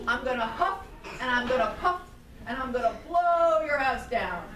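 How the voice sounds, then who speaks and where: A woman's voice: high voice, animated, normal speed. A woman voicing a scheming, hungry male wolf in a children's story, singsong and blustering. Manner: singsong coaxing and blustering threats, drawn out. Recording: roomy, distant mic, noisy live room.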